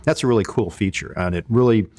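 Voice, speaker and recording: A man's voice: lowish voice, quick pace, a little mellow, no echo. A man presenting technical equipment in an informal product demo, explaining it in a conversational way. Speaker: company presenter demonstrating radio equipment.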